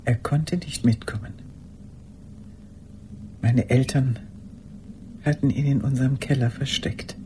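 An actress playing an old woman haunted by a lost love, speaking in a halting, intimate radio drama monologue. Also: rumbling low, steady tone, warm tone, a little hiss or hum. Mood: haunted, hushed. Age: older. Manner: halting, intimate, sometimes dropping to a whisper.

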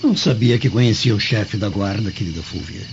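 An actor playing a suspicious Roman husband in a radio drama, formal and questioning. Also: male voice, lowish voice, a little fast, highs cut off, a little hiss or hum, natural voice. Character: suspicious Roman husband, courteous but probing. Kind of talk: Brazilian radio drama acting.

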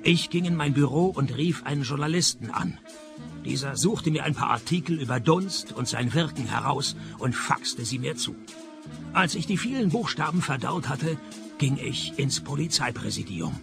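A man acting a sardonic private detective, narrating a comic noir radio play with deadpan, dry sarcasm. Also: on the high side, normal speed, vocal fry, music in the background. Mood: dry, weary.

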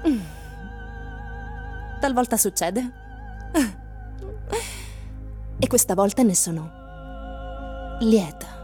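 A woman's voice: fairly high pitch, lively intonation, slow, dark tone, faint music behind. An actress playing a self-assured, mysterious female singer in a theatrical radio drama, teasing and coy. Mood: seductive.

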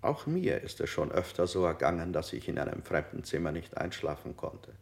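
An actor playing a male interrogator in a radio play, slowly posing falsely sympathetic questions with a gravelly voice. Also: deep voice, big pitch swings. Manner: slow, ingratiating questioning. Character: gently probing male interrogator, insidiously sympathetic.

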